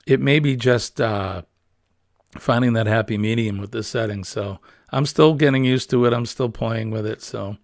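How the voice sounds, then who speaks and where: Man, livestream host; husky, smoky, a bit gravelly; easygoing and rambling with many fillers; dry, close computer-mic sound. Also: medium pitch, moderate pace, no room sound. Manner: relaxed, rambling, frequent ums and uhs. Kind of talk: casual livestream chat reading viewer comments. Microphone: built-in computer webcam mic.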